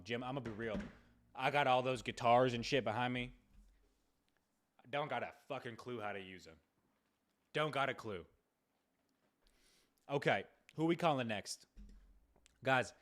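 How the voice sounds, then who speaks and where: Casual young American man streaming. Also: mid-range voice. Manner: casual, streamer slang and swearing. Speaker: livestream host and prank caller. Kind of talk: livestream chat.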